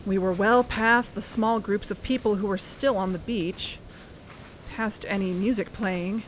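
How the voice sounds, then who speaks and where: An actress playing an earnest, uneasy young woman investigator, narrating her own story in a measured, confessional way for an audio drama. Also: medium pitch, steady tone, slight background noise, natural voice, low-quality recording. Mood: tense, apprehensive. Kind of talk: scripted first-person audio drama narration.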